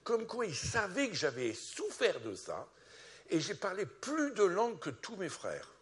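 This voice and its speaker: A man from France giving a sermon as a pastor, animated and rising to loud peaks, often repeating words as he starts sentences. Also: high-pitched, unhurried. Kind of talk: testimony sermon.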